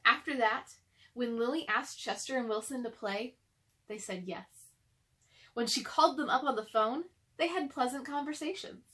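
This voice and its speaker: A woman reads a children's storybook aloud as narrator. Her voice is fairly high, and she delivers it as read-aloud narration for young listeners. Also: normal speed, pushed and bright. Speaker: storybook reader.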